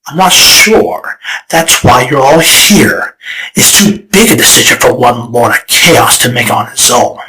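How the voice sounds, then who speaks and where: A man's voice: mid-range voice, lively intonation, sharp tone, poor audio. A man voicing a scheming, theatrical male chaos spirit in a fan comic dub. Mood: wistful. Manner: dramatic, teasing, slow and deliberate. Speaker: storyteller figure.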